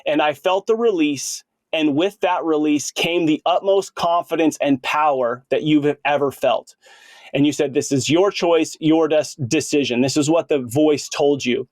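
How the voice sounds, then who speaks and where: A man's voice: fairly high pitch, a little slow, a little mellow, no room sound. A man in his late thirties hosting a podcast, talking earnestly and informally with plenty of bro slang. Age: late 30s. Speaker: podcast host. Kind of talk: casual conversational podcast interview.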